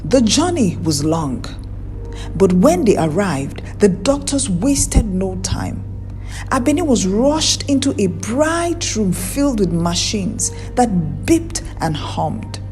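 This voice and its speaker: A woman tells a folktale with measured drama. Her pitch moves expressively while the timbre stays mellow, and she takes her time. Music plays faintly behind her. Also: big pitch swings. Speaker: storyteller, narrator. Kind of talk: scripted narration of a folktale.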